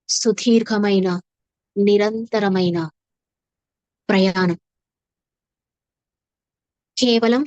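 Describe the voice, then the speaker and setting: A woman leading a guided meditation as a teacher, soothing and unhurried, with long pauses and repeated phrases. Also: medium pitch, a little mellow, no echo, lo-fi sound. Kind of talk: guided meditation, slow instructive narration.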